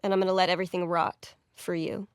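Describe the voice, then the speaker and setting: A woman's voice: on the low side, little pitch movement, fast talker, no room sound, studio quality. A woman talking casually and analytically as a developer commenting on game cutscenes, earnest in the way she explains.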